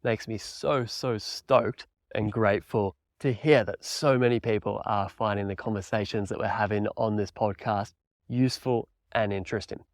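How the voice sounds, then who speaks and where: A man's voice: fairly low pitch, steady tone, normal speed, dry recording, clean studio sound. A man in his thirties with an Australian accent, hosting a casual solo podcast in an upbeat, enthusiastic, laid-back way. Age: 30s. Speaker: podcast host and mountain bike coach.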